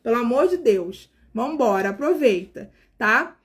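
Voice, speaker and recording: High-voiced chatty Carioca woman in her forties. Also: animated, measured pace. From Brazil. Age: 40s. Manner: chatty, warmly addresses viewers directly, frequent fillers. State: tired. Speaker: nurse hosting a live stream. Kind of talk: casual live-stream chat with viewers.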